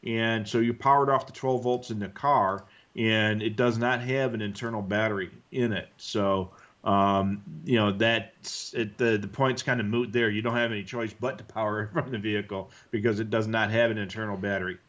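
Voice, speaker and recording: A man co-hosting a live stream, explaining things in a conversational, halting way as he answers viewers. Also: lowish voice, fairly even intonation, fairly bright tone, lo-fi sound. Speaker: co-host answering viewer questions.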